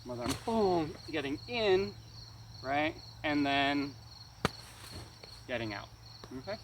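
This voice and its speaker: A man's voice: on the high side, sing-song, takes it slowly, creaky. Distant-miked male martial arts instructor outdoors. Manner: checks understanding often, punctuates moves with exclaimed sound words. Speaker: karate weapons instructor. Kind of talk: casual hands-on demonstration lesson. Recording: distant mic, noisy outdoor ambience with insects.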